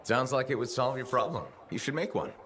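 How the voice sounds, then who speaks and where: A man's voice: mid-range voice, expressive, fairly quick, fairly bright tone, slight background noise, natural voice. A man playing an easygoing, wry freelancer pilot in a sci-fi video game. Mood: friendly, wry. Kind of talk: video game dialogue acting.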